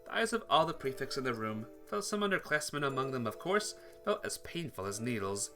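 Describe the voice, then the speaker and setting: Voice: mid-range voice, big pitch swings, fairly quick, a bit bright, soft background music. A playthrough host reading visual novel dialogue aloud with casual commentary, slipping into character voices along the way.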